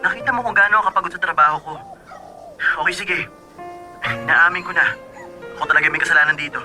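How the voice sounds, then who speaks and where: A man's voice: a little high, bright, forceful tone. A man acting an apologetic, overworked young boyfriend in a Filipino radio drama, pleading and placating. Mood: defensive. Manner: hurried, pleading. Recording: prominent music behind voice.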